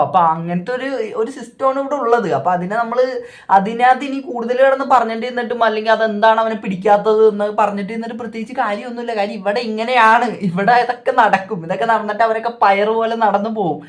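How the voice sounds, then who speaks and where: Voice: medium pitch, fairly even intonation, quick pace. A reaction video host with a Kerala accent, giving opinionated, repetitive casual commentary.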